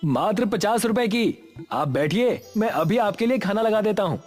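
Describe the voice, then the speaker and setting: Man acting a poor gentle woodcutter, lively swooping pitch, fairly high, music behind. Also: normal speed. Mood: welcoming. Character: poor, kind, hopeful village woodcutter husband.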